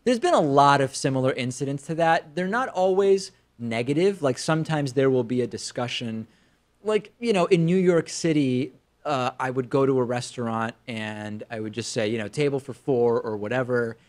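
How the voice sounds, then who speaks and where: American male talk show host, medium pitch. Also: animated, moderate pace. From Argentina, moved to the United States at five. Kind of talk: conversational storytelling and commentary.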